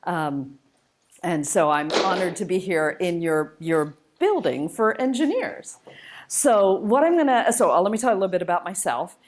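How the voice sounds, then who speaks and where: A woman's voice: lowish voice, lively intonation, moderate pace, a little hiss or hum. A woman giving an informal public lecture, conversational, enthusiastic and emphatic as she shares personal stories as a guest speaker. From United States and Malaysia, born in India. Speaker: guest speaker, spacecraft engineer.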